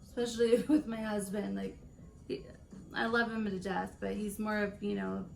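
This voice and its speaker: A raspy woman in her late twenties with a gravelly edge, chatting her way through a makeup routine. She rambles from tip to story and laughs in between, with quiet music playing behind her. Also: medium pitch, flat delivery, moderate pace. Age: late 20s. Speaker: beauty vlogger. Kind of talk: casual chatty makeup tutorial.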